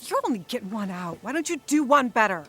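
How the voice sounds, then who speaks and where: A sharp-tongued sardonic wife. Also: female voice, fairly high pitch, sing-song, quick pace, soft background music. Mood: sarcastic. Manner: biting, sarcastic. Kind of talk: radio drama acting.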